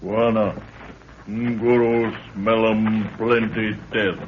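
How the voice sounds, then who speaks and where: An actor playing a solemn African native guide in a 1930s radio drama, speaking terse, broken pidgin English. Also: male voice, lowish voice, level tone, very slow. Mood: ominous. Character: solemn African native guide, fearful of death, sharp-eyed. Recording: muffled, noisy 1930s radio recording.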